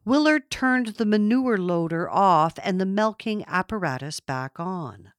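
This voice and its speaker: A woman narrating a literary story from a script in a measured, expressive storytelling manner. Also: medium pitch, no room sound, clean studio sound. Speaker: audiobook narrator.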